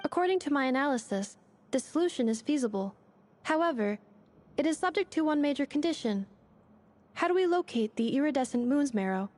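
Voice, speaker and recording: A woman voicing a precise, analytical young robot girl in a video game, brisk, formal and clinical. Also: high-pitched, lively intonation, darkish tone. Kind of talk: video game cutscene voice acting.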